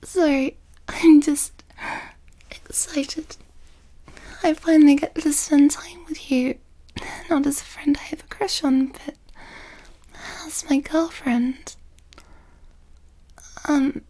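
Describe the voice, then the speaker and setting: Actress as affectionate girlfriend figure for whispered audio roleplay: high register, very slow, breathy, halting delivery. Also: steady tone, mellow. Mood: tender, shy. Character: amorous, tender female lover with a tail.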